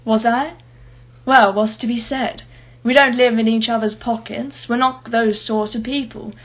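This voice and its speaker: A woman's voice: a little high, fairly even intonation, a little slow, muffled recording. A woman playing a wealthy, blunt and haughty married woman in a radio play, clipped and defensive. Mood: guarded, brittle.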